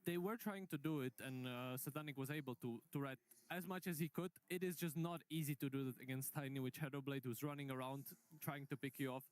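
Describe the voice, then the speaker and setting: A man's voice: medium pitch, a little fast. A man working as an esports analyst on a live desk, walking steadily and methodically through how a teamfight played out. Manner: steady, methodical play-by-play breakdown.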